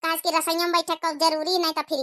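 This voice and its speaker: A young village daughter-in-law in a comedy cartoon, acted by a woman. She is chatty and placating, with an Awadhi accent. Her voice is squeaky, extremely high and bright. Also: level tone, fast. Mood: pleading. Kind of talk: exaggerated cartoon comedy dialogue.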